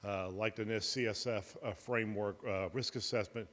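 Lower-voiced man asking a question in a hall. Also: steady tone, moderate pace, a bit bright. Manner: long, winding question. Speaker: audience member asking a question. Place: conference hall, audience mic. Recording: reverberant hall.

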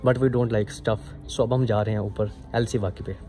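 A man's voice: lowish voice, steady tone, unhurried, background noise. A man in his twenties with a Pakistani accent chatting casually to his phone as a vlogger, explaining things and mixing in English words. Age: 20s. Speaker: vlogger, university student. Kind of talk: casual vlog chat to camera. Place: city streets outdoors and inside shops. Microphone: phone at arm's length. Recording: phone vlog with music laid over. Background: background music.

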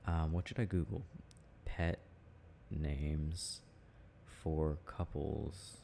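Deep, slow, gravelly young man, softly intimate. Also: steady tone, slight background noise. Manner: soft affectionate chat with frequent chuckles. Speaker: boyfriend roleplay audio performer. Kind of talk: intimate casual ASMR boyfriend roleplay.

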